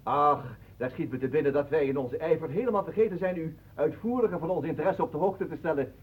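An actor playing a pompous, mocking self-styled ballet master with florid, theatrical delivery in a radio play. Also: male voice, on the high side, fast, breathy edge. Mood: pompous, courtly. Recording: muffled phone-like old radio recording.

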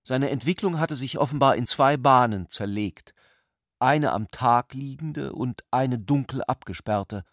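A man reading literary prose as a scripted narrator, in a measured, deliberate way. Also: mid-range voice, no echo, clean studio sound.

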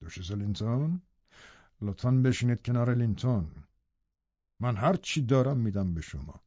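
A man's voice: mid-range voice, expressive, warm tone, no room sound, highs cut off, close to an everyday voice. An actor playing a domineering, sneering villainous father in an audiobook, commanding and sardonic with barely contained anger. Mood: falsely courteous. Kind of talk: audiobook drama acting.

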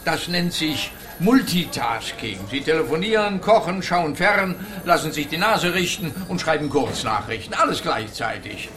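An actor playing a flippant, chatty man who explains absurdities in a drawn-out way in a comic radio play. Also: a little high, very animated, over music. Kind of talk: German-language comic radio play acting.

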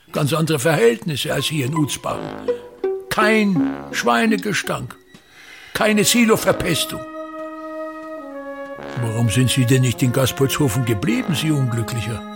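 Pompous, gravelly theatre tyrant. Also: male voice, fairly high pitch, animated, measured pace, over music. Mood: disdainful. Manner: declamatory, contemptuous, repeating words with grand emphasis. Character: pompous, bitter, ranting old actor-manager of a touring theatre. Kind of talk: theatrical German-language radio play monologue.

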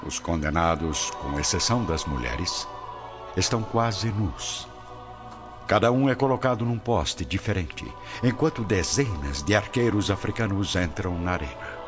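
A Brazilian man narrates an audio drama in a low voice. His delivery is grave, dramatic and measured. The recording is dull, with music clearly playing behind him. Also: lively intonation.

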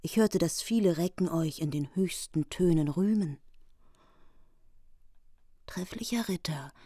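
Eine Schauspielerin spielt in einem deutschen Hörspiel eine trauernde, rachsüchtige Königin, feierlich und eindringlich. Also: female voice, on the low side, unhurried, warm tone, no room sound. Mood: urgent pleading. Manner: solemn, formal, insistent. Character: vengeful, grieving queen demanding sworn service. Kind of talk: German radio play of a medieval epic.

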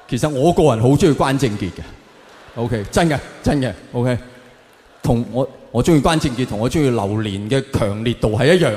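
A man with a Hong Kong Cantonese accent performing stand-up to an audience, telling stories in a theatrical, exaggerated way with drawn-out punchlines. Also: mid-range voice, mellow. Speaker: stand-up comedian. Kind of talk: stand-up comedy monologue to an audience. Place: large live performance venue. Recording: echoey hall with long reverb, faint noise.

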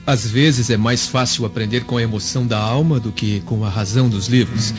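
Thoughtful, deferential servant played by a man, mid-pitched. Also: steady tone, fairly quick, close to an everyday voice. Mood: respectful, thoughtful. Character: thoughtful, deferential male slave and confidant. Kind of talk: radio drama acting. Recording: dull lo-fi radio drama, music behind.

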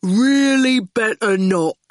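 A woman voicing a huge, sly male thug who talks slowly and calmly in clipped phrases. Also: fairly high pitch, no echo, studio quality, pitched above the performer's own voice. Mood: menacing calm. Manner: clipped speech that drops its subjects, placid and slow. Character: huge sly menacing male thug, calm and slow-witted.

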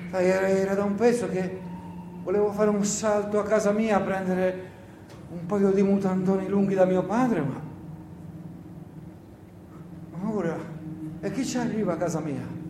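A man performs a grumbling, sardonic partisan in a radio play. The voice is high for a man, rambling and teasing, full of needling questions. It rings in a roomy, echoing space with a faint layer of noise. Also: steady tone, normal speed, long pauses, close to an everyday voice. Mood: wry grumbling. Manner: rambling, mock-rhetorical, needling listeners with questions. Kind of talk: theatrical radio play monologue.

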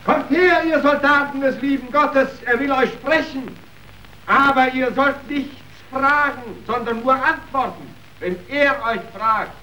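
A man's voice: very high-pitched, unhurried, sharp tone, a little hiss or hum, exaggerated voice. A man acting a dutiful underling who formally announces visitors in a radio play, recorded distant and echoey. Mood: officious.

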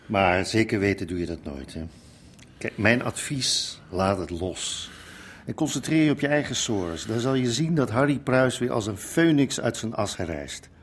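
A calm shrewd adviser. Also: male voice, on the low side, normal speed, breathy edge. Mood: advising. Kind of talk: radio play acting.

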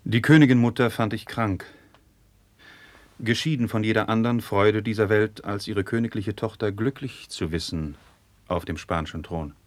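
A man playing a discreet, earnest noble marquis in 1950s radio drama, with courtly, deliberate stage diction. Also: lowish voice, no room sound, natural voice. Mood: courtly, veiled. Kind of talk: 1950s German classical radio drama acting.

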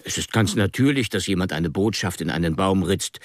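A man's voice: deep voice, lively intonation, brisk, no echo, studio quality. An actor playing an earnest young man in a German radio drama, talking in a muddled, rambling and slightly hesitant way. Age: young adult.

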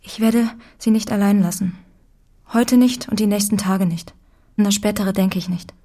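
An actress playing a steadfast, composed young woman in a literary radio drama, soft, earnest and formal. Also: mid-range voice, fairly even intonation, moderate pace, dry recording, clean studio sound, lightly acted.

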